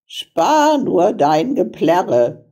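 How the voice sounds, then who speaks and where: A woman's voice: lowish voice, very animated, very slow, dark tone, full character voice. A woman voicing a spiteful old witch in a fairy tale, mocking and barking orders. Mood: scornful. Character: wicked old witch, spiteful, bossy, gloating child-eater. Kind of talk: fairy-tale storybook character voice.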